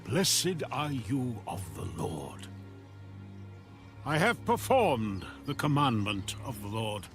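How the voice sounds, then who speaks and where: A man's voice: mid-range voice, sing-song, takes it slowly, fairly bright tone, faint music behind. A man playing a self-justifying, disobedient king in a dramatized audio Bible, with a dramatic delivery. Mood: self-assured, evasive.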